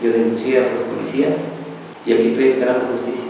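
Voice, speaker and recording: A man gives a personal testimony as a migrant rights defender. He speaks earnestly and pauses to search for his words. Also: medium pitch, little pitch movement. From Guatemala. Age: 20s. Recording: distant echoey hall with noise.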